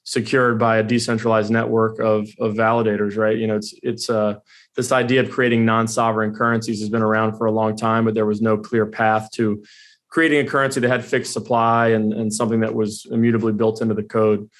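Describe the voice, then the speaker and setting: Low, flat-voiced male interviewer. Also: fairly quick. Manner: long, winding questions. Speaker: podcast host. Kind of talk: interview questions.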